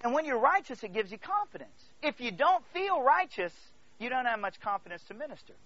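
A man's voice: very high-pitched, very animated, a little fast, dry recording. A man from the Congo preaching to a congregation in an emphatic way, repeating phrases and checking in with his listeners, on slightly dull audio. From Congo, Central Africa. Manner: emphatic, swings between hushed asides and loud declarations. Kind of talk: animated sermon to a congregation.

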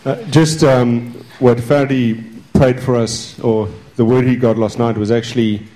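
A man's voice: medium pitch, fairly even intonation, moderate pace, dark tone, a little hiss or hum, poor audio. A man sharing a short testimony at a church meeting, starting hesitantly. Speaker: congregant giving a testimony.

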